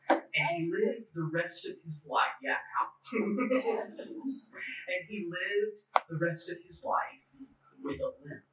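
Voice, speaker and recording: Bright-voiced male preacher with a stutter, echoey room. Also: sits high, slight background noise. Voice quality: stutter, occasional broken fluency. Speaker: clergy member giving a talk. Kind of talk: personal testimony sermon at a church forum. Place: echoey indoor church forum space. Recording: echoey room, distant microphone.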